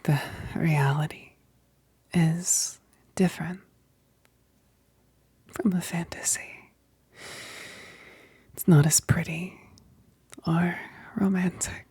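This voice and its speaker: A woman playing a hungry, seductive vampire best friend in an intimate ASMR roleplay, mostly whispering in a slow, teasing way, close to the mic. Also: lowish voice, steady tone, warm tone, slightly rough, no room sound, heavily acted. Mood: wistful, intimate. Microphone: close, dry microphone.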